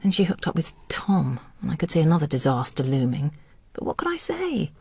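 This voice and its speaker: A frank, troubled British friend in a radio drama. Her voice is rather low and husky, with lively swings of pitch and a confiding manner. The sound is dry. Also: brisk, no echo, exaggerated voice. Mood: bitter. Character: frank, troubled woman, friend of a dead flatmate. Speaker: supporting actor. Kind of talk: naturalistic BBC radio drama acting.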